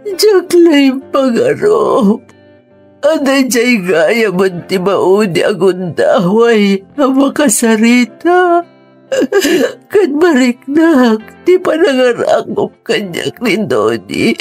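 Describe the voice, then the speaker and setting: A high-pitched, grief-stricken mother in a radio drama. She pleads in a slow, drawn-out, anguished way, with music playing underneath. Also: big pitch swings, a little mellow, exaggerated voice. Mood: tearful longing. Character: grief-stricken mother of a gravely injured son. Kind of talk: Ilocano radio drama acting.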